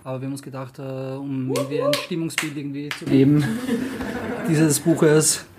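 A man's voice: mid-range voice, level tone, moderate pace, background noise. A man presenting a book to an audience and reading a passage aloud in turns, often hesitating with fillers. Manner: hesitant, many fillers when speaking freely. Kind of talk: casual introduction and alternating reading aloud.

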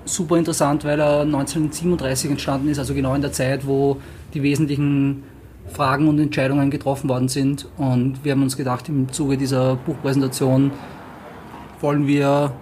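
A man's voice: medium pitch, flat delivery, brisk, darkish tone, slight background noise. A man presenting a book to an audience and reading a passage aloud in turns, often hesitating with fillers. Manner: hesitant, many fillers when speaking freely. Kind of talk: casual introduction and alternating reading aloud.

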